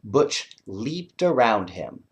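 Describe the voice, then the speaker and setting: A man reading a bedtime story aloud and chatting to young listeners, expressive and often putting questions directly to them. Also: on the low side, slow pace, dull audio. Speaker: children's storyteller.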